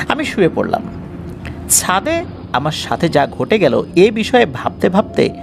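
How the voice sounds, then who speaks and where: A man's voice: on the high side, creaky, noisy background. A man narrating a scripted first-person ghost story in a dramatic way.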